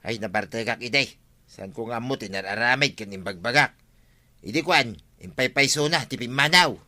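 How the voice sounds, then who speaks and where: A man's voice: medium pitch, normal speed, bright, forceful tone. An actor playing a troubled, stubborn village man in a comedy radio drama, given to grumbling and exclaiming. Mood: bitter, defensive.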